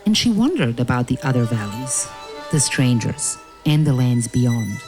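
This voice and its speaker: A woman narrator reading a scripted fairy tale in a measured, dramatic storytelling manner, with music. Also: a bass voice, expressive.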